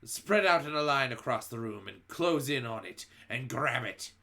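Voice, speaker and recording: A man reading a storybook aloud, voicing the cruel female leader of the witches as she barks slow, menacing orders. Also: mid-range voice, animated, gravelly, sharp tone. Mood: commanding.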